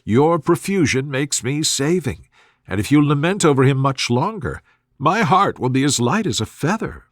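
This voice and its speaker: Man reading as a witty, decided young gentlewoman. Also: fairly high pitch, very animated, normal speed, no room sound, clean studio sound. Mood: wry, teasing. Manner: formal, articulate, wry. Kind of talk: storybook character reading.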